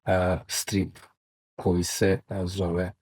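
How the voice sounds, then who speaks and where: A male co-host with a lowish voice chimes in often, agreeing and adding short remarks. The sound is close and dry. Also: monotone, slow, darkish tone, breathy edge, no room sound. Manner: agreeable, frequent backchannel replies. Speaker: podcast co-host. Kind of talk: casual chat.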